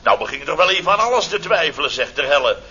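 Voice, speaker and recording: An actor playing an exasperated, grumbling company boss in a Dutch radio comedy serial from around 1970. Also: male voice, on the high side, sing-song, racing, pushed and bright. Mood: mocking indignation. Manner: sarcastic, grumbling, exclamatory. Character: blustering, easily exasperated boss of a building firm. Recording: dull, hissy old radio recording.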